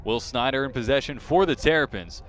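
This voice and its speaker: A man calling live sports play-by-play as a broadcast commentator, lifting his excitement when the action peaks. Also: mid-range voice, a little fast. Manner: excitement rises sharply on big plays.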